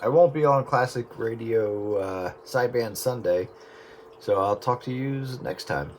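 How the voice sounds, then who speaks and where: Male radio hobbyist, mid pitch, informal chat, light static on a two-way set. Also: unhurried. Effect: two-way radio transmission. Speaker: radio hobbyist who records the sessions. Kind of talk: casual sign-off chat over radio. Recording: CB radio signal with faint static.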